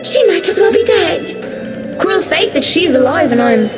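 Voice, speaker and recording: Horror game phantom: female, high, unhurried, seductively mocking and accusing, over music. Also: big pitch swings, heavily acted. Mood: bitter mockery. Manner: theatrical, mocking, drawn-out delivery. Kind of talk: horror visual novel voice acting. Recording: background music under the voice.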